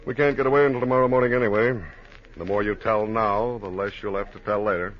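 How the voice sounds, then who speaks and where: A man's voice: lowish voice, steady tone, quick pace, lightly acted. An actor playing a hard-boiled, unimpressed male detective in a 1950s radio crime drama, dry and insistent. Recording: dull vintage radio recording.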